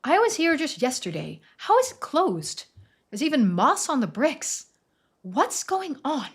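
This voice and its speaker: A woman acts a tense small-town doctor in a story game. Her voice is lifted high, urgent and bewildered, as if she cannot believe any of it. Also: sing-song, measured pace, exaggerated voice.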